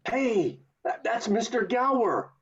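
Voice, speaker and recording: A bewildered, agitated everyman in an amateur radio play, voiced by a woman. The voice is highish and swings about in pitch with urgent stammers. It comes through a dull video-call line. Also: expressive, takes it slowly. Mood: concerned. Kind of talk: community theatre radio play acting.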